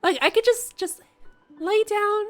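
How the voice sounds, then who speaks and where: A woman's voice: extremely high pitch, a little slow, fairly bright tone. A woman reacting casually to a game as a streamer, chatty and musing aloud. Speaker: gaming streamer reacting to a story. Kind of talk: casual live reaction commentary. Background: game music.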